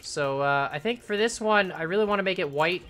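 A young man in his twenties chatting casually as he builds during a live game stream, rambling and thinking aloud, his voice starting to go hoarse. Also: sits high, fairly quick, a bit bright, soft background music. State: voice going hoarse. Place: home room at a computer.

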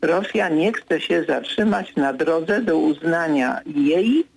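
Gravelly older man, slow and measured. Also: a little high, lively intonation, a little hiss or hum, low-quality recording. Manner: measured, hesitant with many fillers, grows insistent when correcting. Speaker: political commentator. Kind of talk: radio studio discussion. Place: radio studio.

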